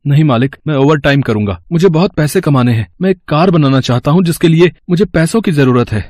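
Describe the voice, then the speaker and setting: A man's voice: a little high, animated, fast, darkish tone, no room sound. A man voicing an earnest, hardworking poor mechanic husband in a moral-story cartoon, with an emotive delivery. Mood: determined, excited.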